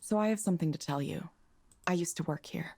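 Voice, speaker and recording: A woman's voice: on the low side, fast talker, creaky, a little mellow. An actress playing a reserved, thoughtful woman engineer in a visual novel, with an understated, hesitant delivery. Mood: apologetic.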